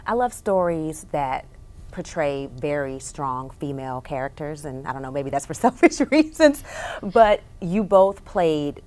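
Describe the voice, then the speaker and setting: Enthusiastic woman interviewer, lowish voice. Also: measured pace. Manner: enthusiastic, animated praise. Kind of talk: casual interview.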